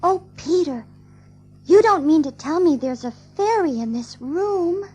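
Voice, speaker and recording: A woman's voice: extremely high pitch, lively intonation, measured pace, a little mellow. A woman playing a prim and proper, motherly young girl in an audio drama of a storybook. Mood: curious.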